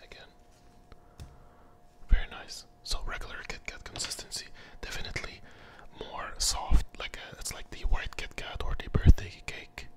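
Someone whispering asmr style reviewing candy, kinda hesitant, says stuff twice. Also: normal speed.

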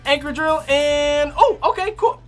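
A woman's voice: high-pitched, expressive, unhurried. A woman co-hosting a casual card-opening video, chatty and teasing, laughing often. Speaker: co-host. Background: music.

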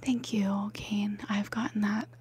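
A woman in her mid-twenties doing ASMR for live viewers in a soft, breathy whisper, chatting gently and repeating words. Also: medium pitch, level tone, measured pace, a little hiss or hum. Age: 20s, mid-twenties. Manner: gentle repetition of words and sounds.

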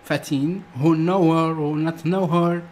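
Slow-talking Algerian man, halting English. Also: a little high, fairly even intonation, darkish tone. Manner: halting, simple broken English with repeated words. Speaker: reaction video host. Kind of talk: casual reaction vlog.

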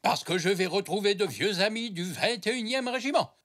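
A man voicing a jolly old grandfather zebra, an ex-soldier with a hearty, expressive manner, in a children's cartoon. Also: high voice, moderate pace. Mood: proud, nostalgic. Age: older.

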